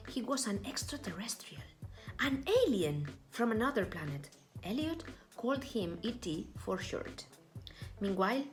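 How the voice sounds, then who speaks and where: A woman narrates a children's storybook in a fairly high voice that rises and falls dramatically. She takes her time over each phrase, with faint music underneath. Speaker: storybook reader. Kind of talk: expressive read-aloud children's story narration.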